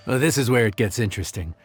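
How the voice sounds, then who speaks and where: A man's voice: mid-range voice, expressive, brisk, husky, soft background music. A man playing an easygoing, confident cook in a video game cutscene, talking in a wry, friendly way. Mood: helpful.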